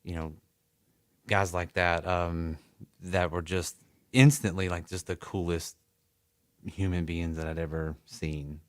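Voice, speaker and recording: Low-voiced laid-back Southern musician. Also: male voice, no room sound, studio quality. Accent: American South. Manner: relaxed storytelling, laughs often. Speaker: musician guest. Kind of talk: casual interview. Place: music studio.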